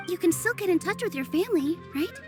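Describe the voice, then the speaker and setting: A woman voicing a gentle, hopeful young dancer who speaks sincerely and encouragingly, in a video game. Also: extremely high pitch, animated, fast talker. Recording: game audio with music behind.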